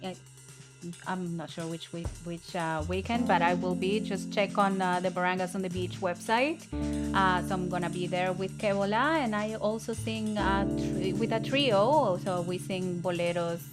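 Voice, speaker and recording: Low-voiced Salvadoran-born woman, earnest. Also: over loud music. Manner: earnest, halting with fillers. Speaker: singer-songwriter guest. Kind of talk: casual conversation.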